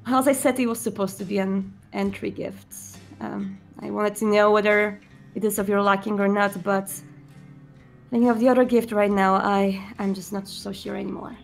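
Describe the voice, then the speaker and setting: Woman playing a halting, gravelly vampire prince. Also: medium pitch, flat delivery, faint music behind, close to an everyday voice. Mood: sad distrust. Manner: halting, hesitant, stammering. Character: sad, hesitant, distrustful male vampire prince.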